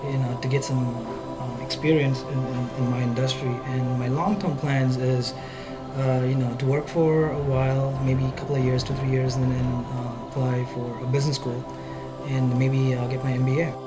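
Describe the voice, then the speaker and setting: A man from India answers interview questions in an average-pitched voice, fluent and peppered with "you know." Loud music sits under the voice. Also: level tone, normal speed, low-quality recording. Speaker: student about to graduate.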